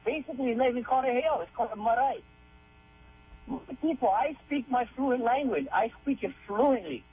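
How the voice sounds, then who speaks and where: A man's voice: very high-pitched, a little fast. A man from Hawaii, a native Hawaiian speaker, calling in to a radio show and asking emphatic, repetitive questions. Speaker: caller. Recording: tinny phone line with faint hum.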